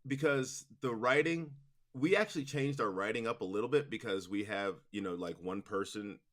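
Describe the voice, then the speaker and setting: A man's voice: on the low side, normal speed, fairly bright tone. A man being interviewed about his audio drama work, answering casually with many fillers and restarts. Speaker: guest, audio drama producer and director.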